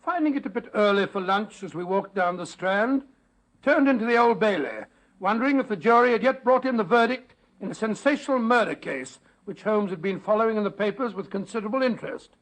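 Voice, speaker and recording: High-voiced genial old English doctor. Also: male voice, lively intonation, brisk, low-quality recording. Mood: storytelling. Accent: British. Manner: avuncular, old-fashioned, chatty. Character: genial elderly English doctor, reminiscing storyteller. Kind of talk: 1940s radio drama acting. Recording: vintage 1940s radio recording.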